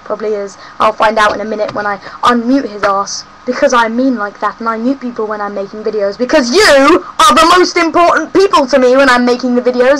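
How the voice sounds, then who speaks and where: A young woman with a London accent talks straight to camera at home, chatty and cheeky, drifting from rant to rant. Her voice sits high and sounds bright and crisp. A faint hiss runs under the recording. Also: low-quality recording. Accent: London English. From South West London, England. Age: young adult. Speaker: vlogger. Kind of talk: casual rambling vlog. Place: home room.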